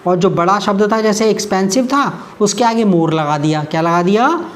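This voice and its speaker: A man with an Indian English accent teaching a grammar lesson in Hindi. He talks patiently, repeats the key terms and asks quick questions. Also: high-pitched.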